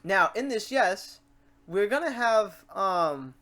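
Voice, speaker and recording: A woman hosting a casual software tutorial, talking informally and reassuringly to the viewer in an animated way. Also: mid-range voice, slow. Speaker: tutorial host.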